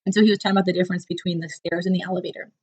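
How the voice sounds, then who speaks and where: Woman with a cold, flat voice. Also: fairly low pitch, racing. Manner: chatty, many fillers and restarts, upbeat pep talk. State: has a cold, voice sounds lower and rough. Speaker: fitness business coach. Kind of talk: casual solo recap talk to camera.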